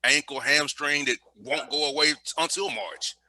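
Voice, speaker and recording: A man in his early thirties hosts a casual interview with plenty of enthusiasm. His voice is very bright and sharp and a little high. He keeps things friendly and heaps on praise. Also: normal speed. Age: 30s. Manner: enthusiastic, praising, says "man" and "Coach" a lot. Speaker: show host.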